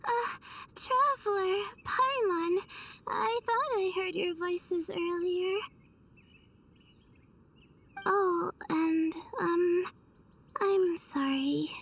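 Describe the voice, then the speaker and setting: A woman playing a timid, exhausted young scholar in a video game, hesitant, fragile and apologetic, with halting murmured pauses. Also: very high voice, fairly bright tone, far from the mic, full character voice. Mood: weary, meek.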